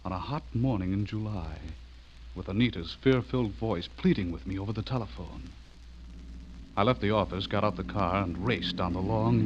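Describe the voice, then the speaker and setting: A man's voice: fairly low pitch, background noise, close to an everyday voice. An actor playing an anxious young newspaperman who narrates a horror tale in a dramatic, foreboding 1940s radio style. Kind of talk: 1940s radio acting, first-person narration. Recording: muffled vintage radio recording.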